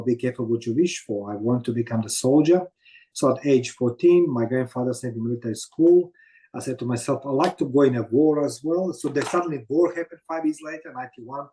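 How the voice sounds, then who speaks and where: A man in his fifties from Croatia with a Croatian accent, telling his story as an interview guest in halting non-native English full of fillers. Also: mid-range voice, mellow, low-quality recording. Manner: halting, many fillers and "you know what I mean".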